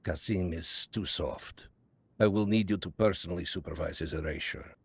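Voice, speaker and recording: An actor playing a calm, calculating Soviet spymaster, speaking in a measured, ominous and grandiose way in a video game cutscene. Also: male voice, sits low, creaky, slightly rough, dry recording, heavily acted. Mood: cold, resolute.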